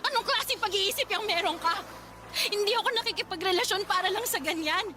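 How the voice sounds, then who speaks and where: A high-voiced pregnant young woman. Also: a little fast. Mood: indignant. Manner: emotional, pleading, tearful at times. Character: young pregnant woman pleading with her lover. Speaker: voice actor. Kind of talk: radio drama acting.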